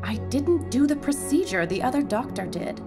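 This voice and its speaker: An actress playing a defensive, sardonic hospital nurse in a horror audio drama, pointed and matter-of-fact. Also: female voice, high-pitched, sing-song, normal speed, mellow, soft background music.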